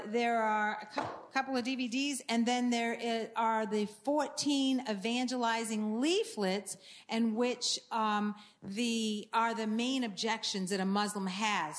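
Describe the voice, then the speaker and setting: Earnest woman from Maine. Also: a little high. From Maine, USA. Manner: earnest, with many fillers and restarts. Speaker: ministry speaker promoting books. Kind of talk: church announcement talk.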